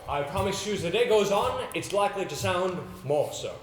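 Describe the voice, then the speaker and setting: An actor playing a pompous, exasperated theatre coach in an amateur stage play, with a sarcastic, emphatic delivery. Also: male voice, high-pitched, animated, brisk. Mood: dry, reproving. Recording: roomy stage recording with faint noise.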